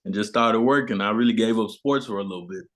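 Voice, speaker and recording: A man's voice: on the low side, fast talker, a bit bright. A young man from Memphis, telling stories as an interview guest in informal, slangy speech. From born in South Sudan, grew up in Memphis, USA. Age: young adult. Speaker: guest, basketball player. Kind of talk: casual chat, storytelling.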